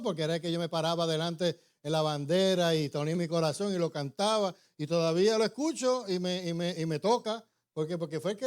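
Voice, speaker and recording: Pastor, conversational, higher-pitched. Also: male voice, a little mellow, smooth. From born in New York, raised in Puerto Rico. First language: English. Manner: informal, many restarts and tag questions. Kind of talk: conversational sermon.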